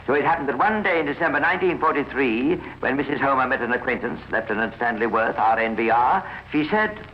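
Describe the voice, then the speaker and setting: A man's voice: medium pitch, animated, fast talker. A British man narrating a scripted 1950s radio crime story in a formal, precise storytelling manner. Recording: muffled, noisy old radio recording.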